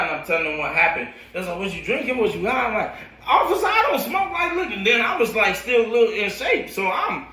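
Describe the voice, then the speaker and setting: An American man who works as a coach, telling a personal story in animated, slangy casual speech and acting out the dialogue and sound effects. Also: sits high. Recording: roomy echo, distant microphone.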